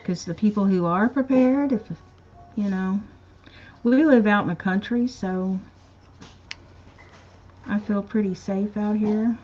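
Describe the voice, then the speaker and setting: A woman's voice: medium pitch, takes it slowly, pauses often, darkish tone, highs cut off. A woman co-hosting a casual livestream. She is easygoing, laughs often and reads viewer comments aloud. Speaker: co-host of a live chat show.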